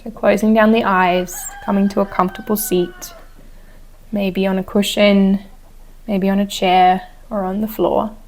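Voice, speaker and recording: A woman teacher leading a guided meditation with gentle, unhurried instructions. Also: medium pitch, level tone, rough recording. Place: outdoors on a wooden deck. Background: chickens and a rooster.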